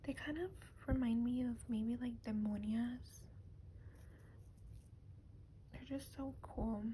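Female ASMR creator, slightly high soft voice, breathy near-whisper, casual and gentle delivery, noticeably noisy lo-fi recording. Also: steady tone, unhurried. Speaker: doll ASMR video creator. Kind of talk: soft-spoken ASMR show-and-tell. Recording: clear background noise, lo-fi ambience.

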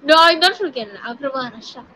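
A young woman with an Indian English accent chatting casually on a video call, soft-spoken, dry and amused. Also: high-pitched, lively intonation, very fast, low-quality recording.

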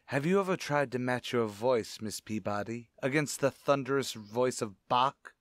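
A man playing a sinister, gloating organist and murderer in an old-time radio suspense play, speaking in a deliberate, theatrical way. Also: medium pitch, no echo, clean studio sound, natural voice. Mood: gloating, cold. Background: music.

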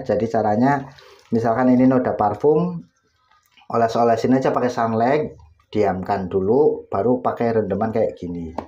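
A man's voice: mid-range voice, a little mellow, muffled recording. A man, casually explaining step by step while working at home. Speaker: laundry tutorial host. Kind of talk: casual how-to demonstration. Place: home laundry area, indoors.

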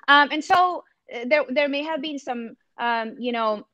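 A woman's voice: sits high, measured pace, pushed and bright, no echo, highs cut off. A woman from India with an Indian accent, speaking earnestly and thoughtfully on a video call, often restarting her sentences. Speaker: campus ministry missionary. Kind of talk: reflective panel talk. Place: home room. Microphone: laptop webcam on a video call.

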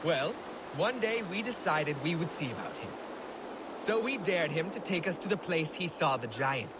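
A man acting as a boastful Viking warrior in a video game, spinning a tale with theatrical amusement. His high voice swings widely in pitch, and loud water and boat noise runs behind it. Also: animated, normal speed, natural voice. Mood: amused, mischievous. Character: boastful male Viking warrior recounting a boyhood prank. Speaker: storyteller. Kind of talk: dramatic video game storytelling.

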